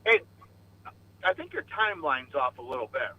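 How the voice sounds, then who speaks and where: A man in his fifties calling into a radio show, talking in a quick, matter-of-fact way. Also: medium pitch, very animated, breathy edge. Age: 50s. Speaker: caller. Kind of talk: call-in phone conversation. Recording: dull lo-fi phone call with faint noise.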